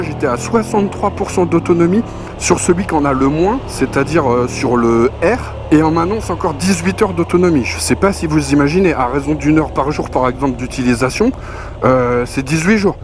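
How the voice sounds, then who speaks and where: A man presents a gear review in a fairly high voice. He talks informally, with colloquial slang and an off-the-cuff conversational flow. Music plays clearly behind him. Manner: colloquial, conversational, punctuated with slang and spoken sound effects. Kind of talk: casual spoken product review. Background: clear background music.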